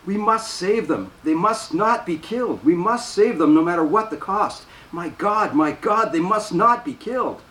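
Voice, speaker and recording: A man's voice: high voice, expressive, normal speed, hardly any pauses, pitched above the performer's own voice. A man reading aloud and voicing a panicked, defiant young nun whose voice breaks with outrage, heard in a roomy space from a distant mic.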